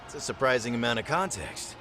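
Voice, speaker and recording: A man playing an excitable young gangster underling in a video game, loud and forceful. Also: medium pitch, fast talker, music in the background, close to an everyday voice. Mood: puzzled, uneasy. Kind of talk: video game cutscene acting.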